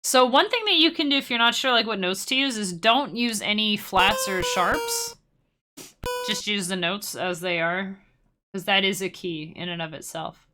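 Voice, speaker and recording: A woman's voice: mid-range voice, normal speed, pushed and bright, a little hiss or hum. A young woman casually teaching music-making on a live stream, rambling and self-deprecating with playful asides.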